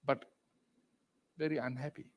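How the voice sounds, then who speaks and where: An older man with a Malaysian accent talking as an interview guest, loud and emphatic, dropping in Malay words and leaping to high exclamations. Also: little pitch movement, moderate pace. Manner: mixes in Malay words and phrases. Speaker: entrepreneur and executive chairman, interview guest. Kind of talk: interview over video call.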